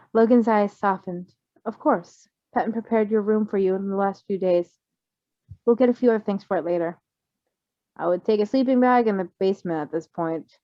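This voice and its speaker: A woman's voice: mid-range voice, dry recording, dull audio. A woman reading a fan fiction story aloud, then chatting casually about it and laughing often.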